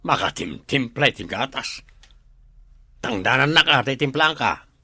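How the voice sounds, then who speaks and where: A man's voice: mid-range voice, lively intonation, unhurried, bright, forceful tone, slightly rough, dry recording, clean studio sound, full character voice. A man playing a curt, taunting guard of a captive in a radio drama. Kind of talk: Ilocano radio drama acting.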